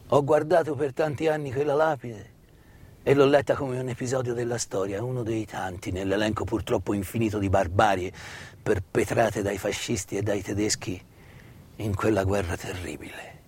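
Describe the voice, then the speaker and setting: A middle-aged man delivers a narrative stage monologue with deliberate, emphatic phrasing and dramatic pauses. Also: on the low side, darkish tone, husky. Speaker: stage actor and storyteller. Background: live instrumental music.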